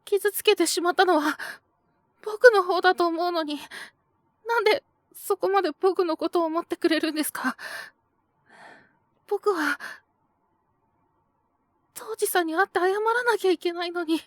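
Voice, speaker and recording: A woman's voice: extremely high pitch, normal speed, pauses often, dry recording, clean studio sound. A woman voicing an earnest, naive young man who speaks politely and hesitantly, pleading with resolve, in an audio drama. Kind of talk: Japanese situational audio drama acting.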